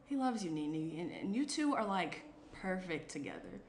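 A woman plays a playful, caring young friend who speaks frankly and chattily. Her pitch moves around expressively. It is a table read in an echoey room with a faint noise floor. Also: moderate pace. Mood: concerned. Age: young adult. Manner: animated, candid, chatty. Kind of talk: naturalistic screenplay table read. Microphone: shared tabletop microphone.

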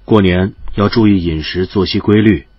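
A man playing a calm doctor in an audio drama, an understated boyfriend who teases dryly. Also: low voice, moderate pace, no echo, dull audio. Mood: dryly tender.

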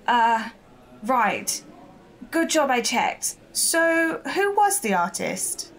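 An actress playing a keen, polite and persistent young newspaper reporter in an audio drama. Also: female voice, on the high side, big pitch swings, very slow, a bit bright, exaggerated voice. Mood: businesslike.

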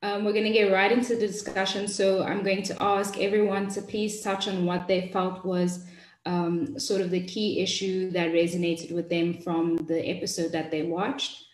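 A woman's voice: fairly low pitch, level tone. A young woman moderating a panel on a video call, politely handing the word to each speaker. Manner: polite, brisk hand-offs. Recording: echoey room on a video call.

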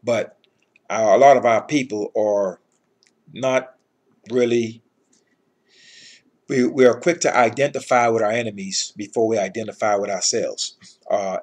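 A middle-aged man with a husky voice giving an informal scripture lesson in an emphatic, admonishing manner. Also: lowish voice. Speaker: Bible teacher.

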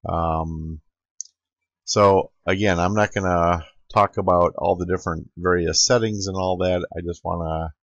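A man with a gravelly, creaky voice talks slowly through a hands-on tutorial, pausing as he explains each step. His delivery is halting and unscripted. The sound is a dry, slightly muffled lo-fi recording made at a home computer. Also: low voice, no echo. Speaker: amateur radio operator giving a demonstration.

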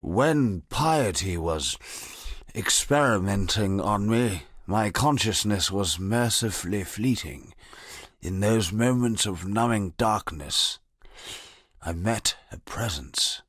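An actor voicing a male poet ally in a dark fantasy game, speaking with measured, solemn gravity. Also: fairly low pitch, lively intonation, breathy edge, no echo, clean studio sound, exaggerated voice. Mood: haunted. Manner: measured, grave, solemn delivery. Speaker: game character. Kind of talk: studio game voice acting. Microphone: close studio mic.

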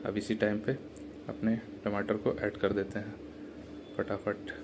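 A young man talks viewers through his cooking in a relaxed, chatty way and calls them brother. His voice sits low and stays fairly level. The small kitchen sounds echoey, with faint background hum. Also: measured pace. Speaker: cooking vlogger. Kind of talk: casual cooking voice-over. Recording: echoey room with faint ambient noise.

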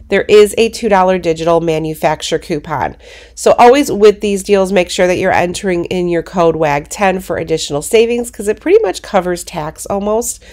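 A congested woman hosting a coupon channel, narrating a screen walkthrough of shopping deals in a brisk, practical way. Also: lowish voice, a little mellow. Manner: explains steps in a brisk, practical way.

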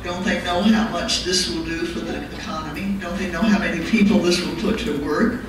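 A woman's voice: lowish voice, fairly even intonation, fairly quick. An older woman from Southern California asking a question from the floor, chatty, laughing and repeating her questions. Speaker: audience questioner. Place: large hall with audience. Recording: echoey hall from far off, crowd noise.

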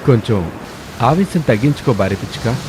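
A man's voice: medium pitch, lively intonation, a little fast, noisy background, close to an everyday voice. A man voicing a scheming lover sparrow in a bird cartoon, with a dramatic, conspiring manner. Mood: calming.

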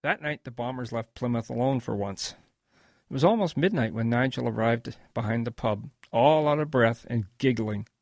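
A man's voice: medium pitch, lively intonation, moderate pace, a little mellow, no room sound, clean studio sound. An actor playing a patient old grandfather telling of his wartime boyhood in a radio drama. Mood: wistful storytelling.

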